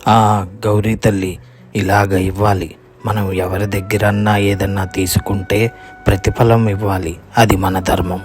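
A grasping old village landowner played by a man lectures in a low voice with a restrained melody. His manner is deliberate and admonishing, suited to a cartoon moral tale, with faint music underneath. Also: flat delivery, lightly acted. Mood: stern, admonishing. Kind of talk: moral story cartoon dialogue.

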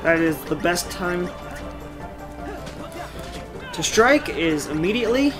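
A man's voice: sits high, very animated, takes it slowly. A man giving casual, playful commentary while he plays a video game. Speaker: gamer commentating on playthrough. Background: game music.

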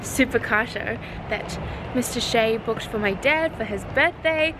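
A woman's voice: fairly high pitch, unhurried, background noise. A bubbly, giggly young woman, chatting casually to a handheld camera while out in the city. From New Zealand. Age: young adult. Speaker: vlogger. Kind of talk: casual vlog chat. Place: outdoors in a city and inside a car. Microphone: handheld camera at arm's length. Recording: music playing under the voice.

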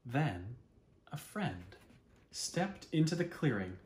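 A man in his thirties reading a children's picture book aloud expressively, with dramatic, deliberate pauses. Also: medium pitch, big pitch swings, darkish tone. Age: 30s. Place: small living room at home, indoors.

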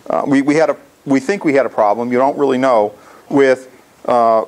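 A man's voice: medium pitch, a little mellow. An American man in his sixties explaining things casually as he presents slides to a club in a lecture hall. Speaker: contest organizer presenting to a club.

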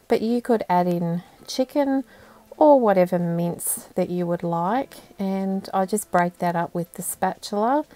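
Slow, homely Australian woman cooking. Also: on the low side, dry recording. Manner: relaxed, homely and unhurried, plain step-by-step instructions. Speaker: cooking channel host. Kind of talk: home cooking tutorial. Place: indoors at home.

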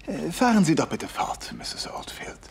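An actor playing a polite, probing police inspector in a German audio drama, with courteous, measured questioning. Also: male voice, a little high, big pitch swings, vocal fry, whispered. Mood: calm, probing.

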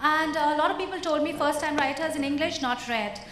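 A woman's voice: high-pitched, fairly quick, fairly bright tone. A woman author on a conference panel, emphatic and motivational, speaking into a handheld mic. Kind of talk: panel discussion. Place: conference stage before an audience. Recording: echoey hall with faint noise.

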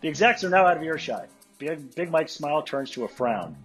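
A man's voice: on the high side, animated, brisk, fairly bright tone, faint music behind. A man reading screen directions aloud at a live table read.

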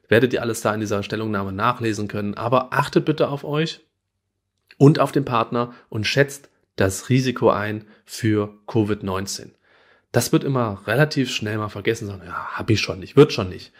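A man in his thirties, a gynecologist, giving earnest, conversational advice to parents straight to camera in a small room at home. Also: mid-range voice. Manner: earnest, conversational, gestures while explaining. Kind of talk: direct-to-camera advice video.

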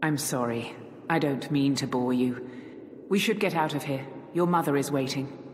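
A reserved woman warrior of noble birth in a game cutscene. Her voice sits deep, and her phrasing is formal and measured. It rings in a long reverb with some noise behind it. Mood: solemn, reflective. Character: stoic, reserved adult female warrior of a noble family. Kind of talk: video game cutscene acting.

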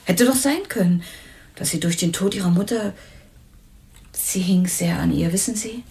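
A woman's voice: on the low side, normal speed, vocal fry, warm tone. An actress playing a hesitant but cooperative young woman questioned as a witness in a crime radio play. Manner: hesitant, recalling in short phrases.

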